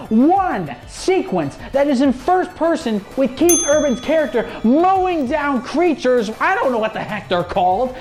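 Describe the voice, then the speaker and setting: A man co-hosting a gaming show, reading casual review commentary with emphatic, dramatic pauses. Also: extremely high pitch, darkish tone. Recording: music playing under the voice. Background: music.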